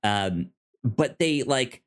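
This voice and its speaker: A man co-hosting a casual movie discussion, enthusiastic, with halting delivery and repeated, stammered sentence starts. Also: mid-range voice, animated. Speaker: podcast co-host.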